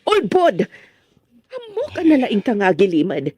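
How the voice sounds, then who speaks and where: A woman's voice: on the high side, wildly swooping pitch, measured pace, darkish tone, slightly rough, no echo, exaggerated voice. A woman playing a jealous, accusing wife in a drama serial, with an Ilocano Filipino accent. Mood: angry, accusing. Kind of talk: Ilocano drama series voice acting.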